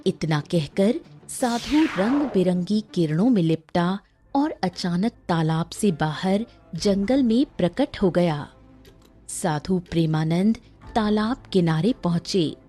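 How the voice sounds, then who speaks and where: Slow, mellow woman storyteller. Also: on the low side, lively intonation, soft background music. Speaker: story narrator. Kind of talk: scripted children's story narration.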